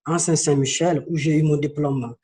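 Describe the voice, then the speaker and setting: A man from Senegal in his thirties talks as an interview guest, earnest and storytelling, often stalling and repeating words. His voice sits a little high for a man. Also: fairly even intonation, normal speed, mellow.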